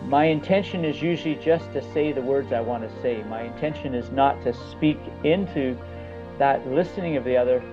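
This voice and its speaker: A middle-aged man, a coach, giving a personal testimonial in a halting, reflective way with pauses mid-thought. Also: mid-range voice. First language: English. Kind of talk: personal testimonial talk to an audience. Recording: slightly dull audio with music underneath. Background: music.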